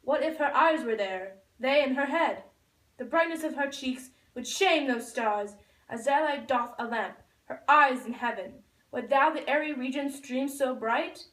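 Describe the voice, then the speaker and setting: A lovestruck young man in a puppet play , voiced by a woman, high in pitch, declaims ardent, poetic verse. The voice is recorded in a very echoey room, well away from the microphone. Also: measured pace, fairly bright tone. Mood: adoring. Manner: declaiming verse, reciting from a script. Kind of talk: Shakespeare recited for a school puppet show. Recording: echoey room, far from the mic.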